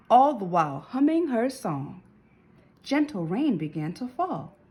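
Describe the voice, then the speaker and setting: A woman narrates a children's story with dramatic emphasis. Her mid-pitched voice rises and dips in a lively way and has a slightly husky grain. The delivery is that of an expressive read-aloud.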